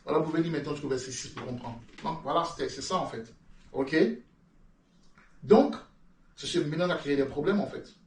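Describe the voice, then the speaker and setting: Emphatic French preacher in an echoey room. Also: male voice, a little high, fairly quick, long pauses, recorded from a distance. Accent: French with a London-influenced lilt. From France. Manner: repeats words, stammering restarts, rising emphatic peaks. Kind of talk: sermon with Bible reading.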